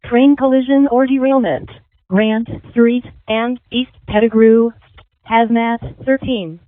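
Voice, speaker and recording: A woman's fairly high voice reads a dispatch announcement over a thin radio channel. She is measured and formulaic, spacing the words out slowly. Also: fairly even intonation. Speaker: emergency dispatcher. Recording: tinny phone-like radio transmission.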